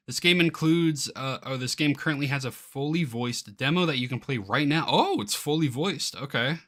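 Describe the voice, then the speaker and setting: A man giving casual reaction commentary as he reads aloud from a web page, excitable and quick to exclaim in disbelief. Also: medium pitch. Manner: excitable, easily incredulous, exclaiming. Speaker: YouTuber reacting to a game's crowdfunding page.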